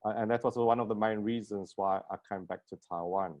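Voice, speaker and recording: A man's voice: on the low side, fairly even intonation, a little fast, a little mellow. Middle-aged man with a South African accent. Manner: self-deprecating, joking. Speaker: guest lecturer, plastic surgeon. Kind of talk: informal webinar lecture. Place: indoor room at home, video call.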